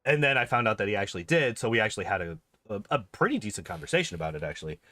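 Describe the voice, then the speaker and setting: A man's voice: lowish voice, big pitch swings, very fast, pushed and bright, dry recording. A man chatting casually as a streamer, rambling and repeating phrases emphatically to make his points. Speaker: game streamer and video host. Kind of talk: casual rambling livestream chat.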